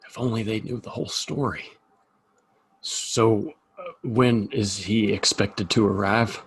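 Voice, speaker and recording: An actor playing a weary, wry saloon keeper in a Western audio play, with a folksy, drawn-out delivery. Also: male voice, lowish voice, a little hiss or hum, rough recording. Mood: worried. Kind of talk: Western audio drama acting.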